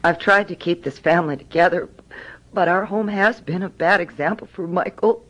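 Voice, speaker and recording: A woman's voice: fairly low pitch, normal speed, fairly bright tone. An actress playing an anxious middle-aged mother in a radio drama. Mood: pleading. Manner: pleading, anxious. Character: worried mother of a teenage son. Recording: dull radio broadcast.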